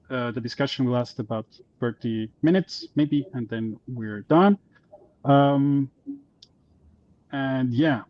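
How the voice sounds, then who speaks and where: A man moderating an online meetup, introducing speakers in a halting way with many fillers. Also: mid-range voice, dull audio.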